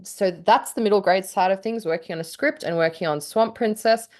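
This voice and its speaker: A woman's voice: mid-range voice, fairly quick. A woman co-hosting a casual podcast chat, often laughing as she talks. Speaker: podcast co-host and writer.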